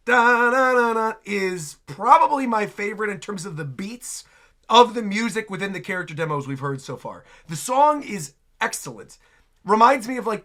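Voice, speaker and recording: A man hosting a casual, enthusiastic game reaction, excitable and prone to repeating phrases. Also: high-pitched. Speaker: reaction video host. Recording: music playing behind the voice.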